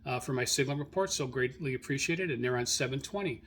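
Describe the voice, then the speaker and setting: A man hosting a radio hobby show, informally reading through his logs in a halting, self-correcting way as he searches his notes. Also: mid-range voice, a bit bright, breathy edge. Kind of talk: informal spoken log rundown, unscripted.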